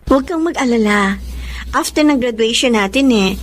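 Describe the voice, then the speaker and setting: A woman's voice: on the high side, animated, normal speed, slight background noise, natural voice. A woman acting a sweet, slightly unsure young student wife in a radio drama. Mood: sweet, happy. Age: young adult.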